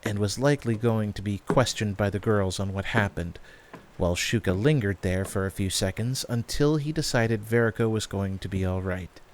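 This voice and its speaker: A man's voice: on the low side, normal speed, slight background noise. A man working as narrator, reading a scripted fantasy story. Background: background music.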